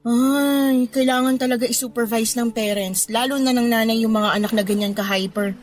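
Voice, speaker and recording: A woman's voice: a little high, steady tone, normal speed, lightly acted. An actress playing a discontented, flirty mother of thirty who confides in a Filipino accent, in a conversational radio drama style. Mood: concerned, chatty. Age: 30s. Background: background music.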